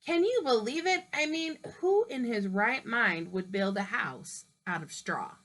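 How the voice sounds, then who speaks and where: A chatty storybook wolf pleads his innocence in a slow, lively telling, read by a woman in her own fairly high voice. He talks to the listener like an old friend, drawing out each word. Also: exaggerated voice. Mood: persuasive. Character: smooth-talking male wolf telling his side.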